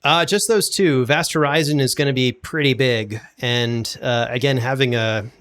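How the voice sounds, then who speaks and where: A man speaks as a guest in a casual interview. His voice sits at a middle pitch. He talks like a producer explaining his craft in everyday conversational language. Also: moderate pace, fairly bright tone. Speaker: guest, audio drama producer and sound designer.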